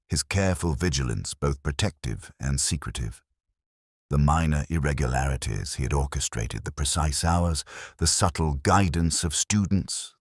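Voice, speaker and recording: Male narrator, very low voice with lively intonation, contemplative scripted detective fiction, dry close recording. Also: slightly rough, dry recording. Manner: measured, literary, contemplative. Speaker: audiobook-style narrator. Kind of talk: scripted first-person detective story narration.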